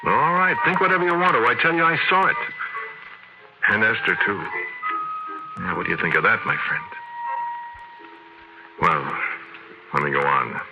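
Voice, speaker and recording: Shaken haunted man telling his story, low voice, edgy, old time radio drama with music behind. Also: lively intonation, moderate pace, pauses often, low-quality recording. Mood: insistent, defensive. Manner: conversational, confiding, edgy. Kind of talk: 1940s radio drama first-person narration.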